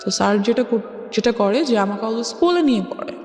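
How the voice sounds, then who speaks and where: A woman's voice: on the high side, expressive, brisk, a little mellow. A woman with a Bangladeshi accent telling her own life story in an interview, mixing English phrases into her speech. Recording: echoey reverberant sound with music behind. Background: music.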